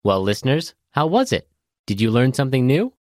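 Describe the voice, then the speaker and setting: A man's voice: mid-range voice, big pitch swings, fairly quick, dry recording, clean studio sound. A man hosting a vocabulary lesson, reading scripted instructions to listeners. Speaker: host of a language vocabulary lesson.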